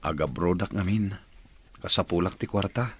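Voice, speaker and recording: A man's voice: sits low, moderate pace, slightly rough, no echo. An actor playing a weary, struggling working-class husband and father in a radio drama. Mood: pleading. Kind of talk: radio drama acting in dialogue. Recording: radio drama with loud music behind. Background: loud background music.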